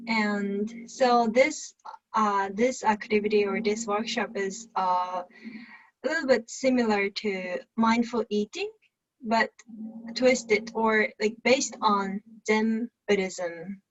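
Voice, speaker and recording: A woman from Japan speaks English with a Japanese accent at a slow, halting pace. She often stops to search for words as she answers questions. Her fairly high voice comes through dull, lo-fi video call audio. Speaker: guest, Zen eating workshop host. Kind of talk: interview answers.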